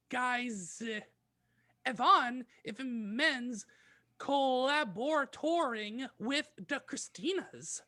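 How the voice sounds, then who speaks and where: A man's voice: very high voice, pushed and bright. A man co-hosting a comedy podcast with casual banter and read-aloud bits. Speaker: podcast co-host.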